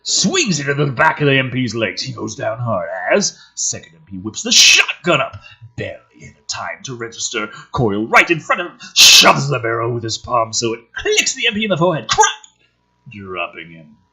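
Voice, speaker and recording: A man's voice: medium pitch, big pitch swings, moderate pace, gravelly, bright, forceful tone, breathy edge, poor audio. A man reading a screenplay aloud in a theatrical, emphatic way, dropping into casual, sweary, sardonic commentary. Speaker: host reading and commenting on a film script.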